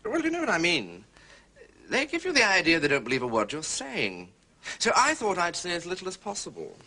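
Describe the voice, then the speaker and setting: An actor playing a blunt, slightly uneasy gentleman witness, clipped and defensive, in a period detective drama. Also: high voice, wildly swooping pitch, a little fast, full character voice.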